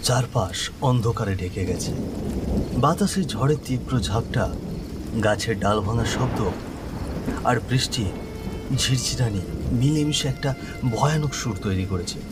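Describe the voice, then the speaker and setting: A man's voice: mid-range voice, expressive, moderate pace, pauses often, loud music behind the voice. A man narrating a scripted horror cartoon story in a suspenseful, descriptive way.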